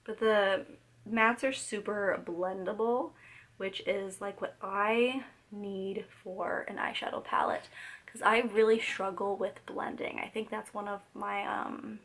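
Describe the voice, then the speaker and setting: A young woman vlogger with a voice gone hoarse from a cold. She chats casually and rambles, filling her talk with "like" and "um" and restarting her sentences as she walks through a makeup look. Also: mid-range voice, steady tone, takes it slowly, a bit bright. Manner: chatty, rambling, many fillers and restarts. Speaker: beauty vlogger. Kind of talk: casual makeup tutorial chat. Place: home.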